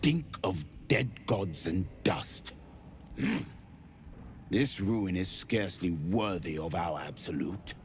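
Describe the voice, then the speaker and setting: A man voicing a gruff male cult officer who speaks curtly and defers to his superiors. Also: low voice, expressive, takes it slowly, a little hiss or hum.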